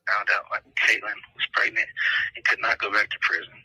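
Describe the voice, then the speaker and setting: A man speaks over a recorded jail phone line in a flat, very raspy voice with a sharp, cutting edge. He delivers a prepared statement deliberately and matter-of-factly, like someone setting out his account. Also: fairly low pitch, no room sound. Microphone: telephone.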